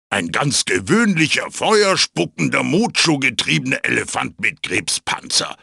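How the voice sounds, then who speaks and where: A male actor voicing a wise, quirky wooden mask spirit in a video game, raspy, theatrical and wry as he guides the listener. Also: mid-range voice, sharp tone, no echo, studio quality, full character voice.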